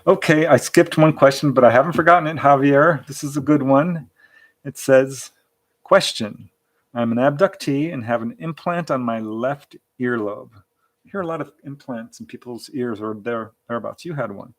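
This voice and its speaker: A man's voice: medium pitch, normal speed. A man hosting an informal, chatty live question-and-answer session, reading out audience questions. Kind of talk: casual live Q&A chat.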